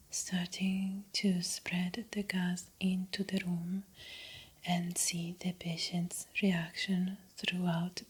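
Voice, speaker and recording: A woman with an Italian accent playing an uneasy doctor confessing in a breathy, halting ASMR roleplay whisper. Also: lowish voice, heavily acted. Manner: slow, halting, hesitant. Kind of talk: soft-spoken ASMR roleplay.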